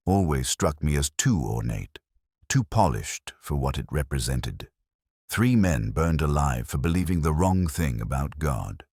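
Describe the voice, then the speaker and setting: A man playing a weary, melancholy police detective who narrates a mystery audio drama in measured, brooding, literary phrases. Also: extremely low pitch, no echo, studio quality, exaggerated voice.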